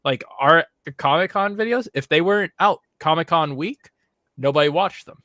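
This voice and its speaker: A man's voice: on the high side, normal speed, pushed and bright, no echo. Explanatory man, close dry sound. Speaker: online video creator, panel guest. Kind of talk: casual panel chat.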